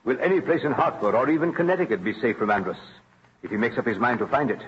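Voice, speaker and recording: An actor playing an earnest, careful colonial court clerk in an old radio drama. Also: male voice, mid-range voice, quick pace, natural voice. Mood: careful, anxious. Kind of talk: 1940s radio acting. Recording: muffled, noisy old radio recording.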